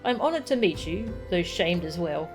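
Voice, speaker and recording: A woman's voice: fairly low pitch, lively intonation, moderate pace, music in the background, natural voice. A woman voicing an earnest, courteous warrior who guards children in a fantasy game, speaking formally. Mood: courteous, humble.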